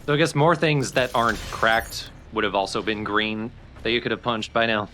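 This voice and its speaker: A man's voice: lowish voice, moderate pace, pushed and bright, soft background music. A man giving casual, wry, conversational co-commentary on a video game. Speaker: let's play co-commentator.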